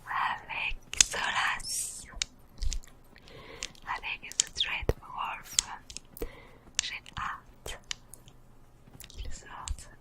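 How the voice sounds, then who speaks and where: A woman hosting a gaming video in whispered ASMR style, speaking in a hushed, soothing manner. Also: extremely slow, pauses often, rough recording.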